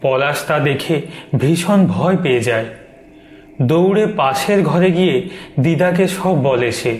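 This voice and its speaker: Male storyteller for animated ghost tale: slightly high-pitched, scripted narration, echoey with faint noise behind. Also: measured pace.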